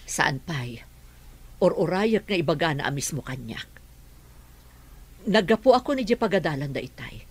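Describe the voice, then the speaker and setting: A woman's voice: low-pitched, big pitch swings, normal speed, pauses often, darkish tone, slightly rough, heavily acted. A woman playing a caring, worried middle-aged mother in a radio drama.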